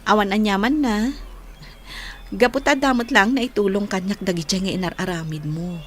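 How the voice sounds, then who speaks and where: An actress with an Ilocano accent playing a gentle, forgiving and modest young woman in a radio drama. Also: medium pitch, normal speed, close to an everyday voice.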